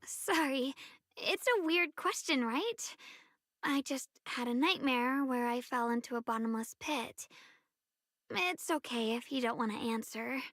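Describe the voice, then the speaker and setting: An actress playing a sweet, caring young woman in an anime-style video game, speaking in a gentle, earnest and slightly hesitant way. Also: sits high, a little slow, dry recording, studio quality. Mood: wistful, uneasy. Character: sweet, caring young woman who bakes, shyly wistful. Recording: background music under voice.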